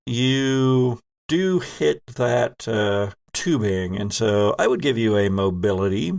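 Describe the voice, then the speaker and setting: Man, game master, unhurried delivery, very gravelly fry, dramatic tabletop horror narration. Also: fairly low pitch, lively intonation, darkish tone, dry recording, clean studio sound.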